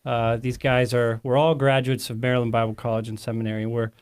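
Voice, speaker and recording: A man's voice: mid-range voice, level tone, fast, clean tone. A man in his thirties hosting a casual podcast, talking in a friendly, rambling way and often correcting himself. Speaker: host.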